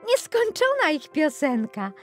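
A woman's voice: high voice, huge pitch swings, unhurried, soft background music, voice pushed up, heavily acted. A woman voicing a naive, cheerful young flax plant in a children's storybook, wide-eyed and emphatic. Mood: ecstatic.

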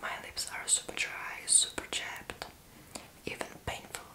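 A young adult woman doing an ASMR roleplay in a breathy, unvoiced whisper, with a sassy, playfully deadpan manner. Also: a little slow. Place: small room indoors.

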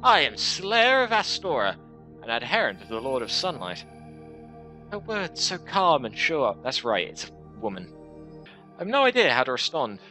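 A young man streaming a game, reading its narration aloud in a headset and breaking off into quick casual asides. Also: mid-range voice, huge pitch swings, pushed and bright, faint music behind. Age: young adult. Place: small room at home.

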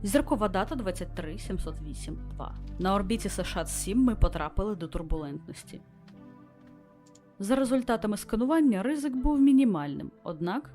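Female starship captain read by a woman, formal and measured log entries for a visual novel, expressive pitch movement, faint music underneath. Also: close to an everyday voice. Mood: grave, reporting. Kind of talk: scripted narration read aloud from a visual novel.

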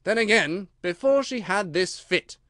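A pompous, self-satisfied storybook king, acted out by a male narrator, muses aloud with great self-importance. The voice is raised high and swoops widely, in a dry, close recording. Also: lively intonation, measured pace, fairly bright tone, no room sound. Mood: pondering, triumphant.

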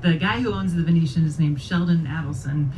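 A middle-aged woman from Illinois tells a personal story on a small club stage, chatty and halting, with restarts. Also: low voice, darkish tone. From Springfield, Illinois. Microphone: handheld mic, far camera. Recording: distant camera sound with room noise.

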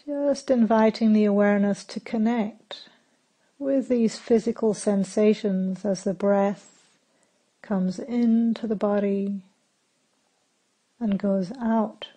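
Slow, mellow woman guiding meditation. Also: mid-range voice, slightly rough, low-quality recording. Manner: calm, unhurried, gentle instruction with long pauses. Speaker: meditation teacher. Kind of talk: guided meditation.

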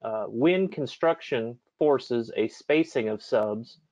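Man, mid-pitched, webinar moderator, informal, very dry and slightly muffled audio. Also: lively intonation, slow, a little mellow, no echo. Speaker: moderator relaying audience questions.

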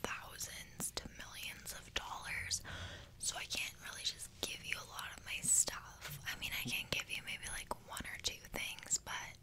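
A young woman whispering an ASMR roleplay close to the microphone in casual, slangy, playfully boastful speech. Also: a little slow. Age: young adult. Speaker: ASMR creator. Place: small bedroom indoors. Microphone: desk microphone close to the mouth.

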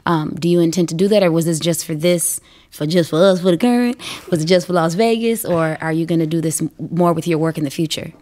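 A woman's voice: low voice, fast, warm tone. A young woman hosting a conversational interview, speaking into a studio microphone. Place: wood-panelled recording studio, indoors. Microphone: studio microphone on stand.

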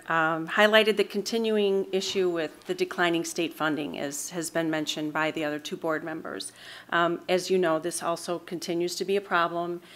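A woman's voice: on the low side, a bit bright. A middle-aged woman with an American accent delivers a partly read prepared statement at a podium microphone in a meeting room. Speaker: mental health board member presenting to city council. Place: large council meeting room, indoors.

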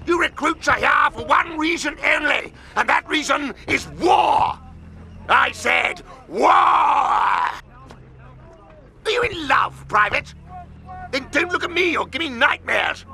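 A furious pig drill sergeant from a cartoon war game. His gravelly voice swings wildly as he barks orders with theatrical menace. He is a bullying male who relishes command. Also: extremely high pitch, lively intonation, slow pace, pushed and bright, raised voice, exaggerated voice. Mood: furious, bellowing. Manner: bellowing, barking, menacing, theatrical. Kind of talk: video game cartoon military briefing.

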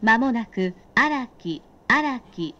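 A woman's voice: a little high, expressive, a bit bright, dull audio. A woman reading recorded train announcements in short set phrases, formally and politely. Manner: formal, polite, measured scripted delivery.

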